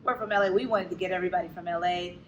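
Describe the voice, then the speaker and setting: A woman co-hosting a live talk show, chatting casually with frequent laughter and quick interjections. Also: on the low side, steady tone, fairly bright tone, reverberant, a little hiss or hum. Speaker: co-host and burlesque show host.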